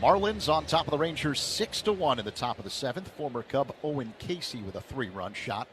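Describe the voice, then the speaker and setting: A man doing radio play-by-play of a baseball game, measured in tone and rising with the action. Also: mid-range voice, big pitch swings, a bit bright, faint music behind. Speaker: play-by-play announcer. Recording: broadcast with clear background noise.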